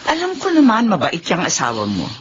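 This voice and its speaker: A woman's voice: on the low side, wildly swooping pitch, fairly quick. Fretful, nagging mother, swooping intonation. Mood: regretful. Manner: reproachful, fussing. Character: fretful, nagging mother of a married adult son. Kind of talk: Filipino radio drama acting. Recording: dull lo-fi radio recording, faint noise.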